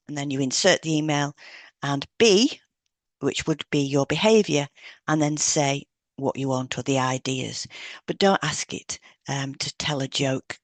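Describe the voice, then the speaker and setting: A woman's voice: low voice, measured pace, no room sound. Woman explaining, close dry sound. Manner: explanatory, instructional. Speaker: podcast co-host, hypnotherapist. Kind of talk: scripted podcast narration with informal asides.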